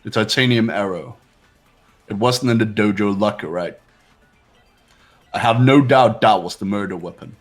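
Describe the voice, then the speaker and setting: A man's voice: on the low side, normal speed, pauses often, soft background music, natural voice. A man voicing a formal, pompous, calculating young man with a superior manner, in a fan-voiced game. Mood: authoritative. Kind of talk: fan-voiced visual novel game acting.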